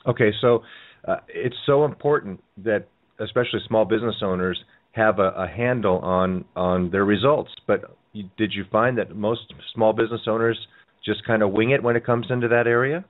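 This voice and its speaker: A man's voice: on the low side, normal speed. A man hosting a radio interview show, asking rambling questions that he often restarts. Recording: tinny phone-like sound.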